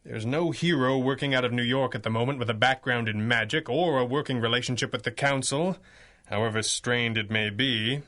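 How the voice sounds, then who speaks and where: Man playing a measured, wry pulp superhero. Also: mid-range voice, pushed and bright, close to an everyday voice. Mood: grave, brooding. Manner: measured, formal, dryly wry. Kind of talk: 1930s-style radio drama acting.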